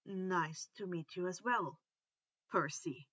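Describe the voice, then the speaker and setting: A woman voicing an aloof merman with a terse, measured, questioning way of talking. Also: fairly low pitch, a bit bright, dry recording, lo-fi sound, voice pushed down. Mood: reserved. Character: Triton, aloof, terse, studying young merman. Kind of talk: amateur fan fiction reading.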